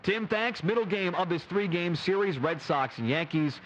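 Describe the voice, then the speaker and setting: A man's voice: high-pitched, expressive, normal speed, muffled recording, slight background noise. A man announcing on sports radio before a game.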